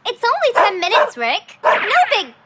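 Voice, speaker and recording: A woman voicing a bold, excitable young boy in a children's cartoon, speaking emphatically and bossily over music. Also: extremely high pitch, moderate pace, heavy background noise, heavily acted. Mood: confident, bossy. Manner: emphatic, pleading, exclamatory. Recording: music bed behind voice.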